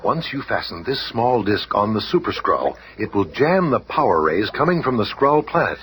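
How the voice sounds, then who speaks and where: A man's voice: on the low side, sing-song, moderate pace, a bit bright, a little hiss or hum. A man playing a brainy, decisive superhero team leader with earnest authority in a 1970s radio drama. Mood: confident.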